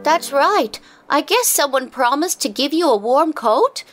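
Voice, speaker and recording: A woman voicing a concerned, practical townswoman in an animated fairy tale, insistent and urging. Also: sits high, unhurried. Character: concerned, practical female townsperson urging an old man home. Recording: music under the voice.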